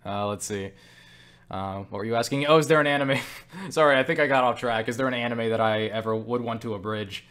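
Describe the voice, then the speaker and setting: A man's voice: mid-range voice, fairly quick, fairly bright tone. A tired young man casually answering viewer questions on a webcam, joking and self-deprecating as he rambles. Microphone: webcam setup.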